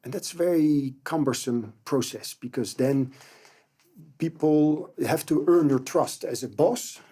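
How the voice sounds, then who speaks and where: A man's voice: a little high, a little slow, mellow, breathy edge. A man speaking in a casual panel conversation, reflective and hesitant, with many fillers and restarts.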